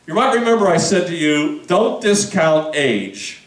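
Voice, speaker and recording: A male pastor with a high, bouncing voice delivers a loose, conversational sermon. He talks to the room, tossing out asides and questions. The sound is roomy and echoey, picked up from some way off the mic. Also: sing-song, a little slow, distant mic. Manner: conversational, chatty preaching with asides and audience questions. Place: church sanctuary.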